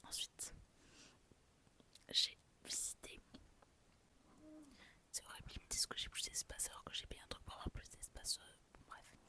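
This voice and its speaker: A young woman whispering softly into her phone, chatting through a haul of books and souvenirs with a gushing, filler-heavy manner. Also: normal speed, pauses often. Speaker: vlogger.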